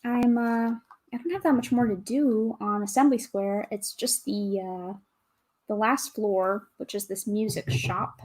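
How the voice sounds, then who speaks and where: A woman with a calm, soothing voice, chatting casually with viewers as a livestream host, greeting and thanking them as she goes. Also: mid-range voice, measured pace, low-quality recording. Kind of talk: casual livestream chat while building.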